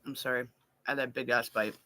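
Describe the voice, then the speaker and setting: A woman's voice: extremely low pitch, steady tone, fast, bright, forceful tone, slight background noise. A woman in her thirties hosting a live talk panel and asking candid, probing questions. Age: 30s. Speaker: host.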